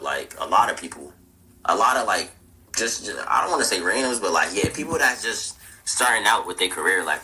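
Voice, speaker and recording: A man's voice: lowish voice, bright, forceful tone, low-quality recording. A nineteen-year-old man, a rapper and producer, chatting casually as an interview guest, laid-back and full of slang. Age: young adult. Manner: laid-back slangy talk with frequent "bro".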